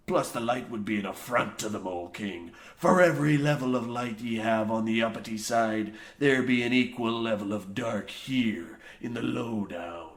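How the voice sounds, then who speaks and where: An actor playing a crazed, grandiose old coal mine foreman, speaking in theatrical, archaic rustic phrases in an old-radio horror parody. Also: lowish voice. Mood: grandly ominous. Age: older. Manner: theatrical, archaic pirate-like rustic speech, preachy. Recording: roomy echo, faint noise.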